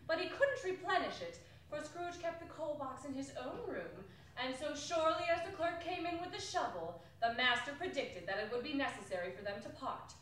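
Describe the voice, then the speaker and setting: A woman's voice: sits high, expressive, moderate pace, few pauses, fairly bright tone. A woman reading a classic story aloud as a theatrical stage narrator. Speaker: stage reader in a theatre company. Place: arts center performance space, distant camera. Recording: echoey hall from far off, faint noise.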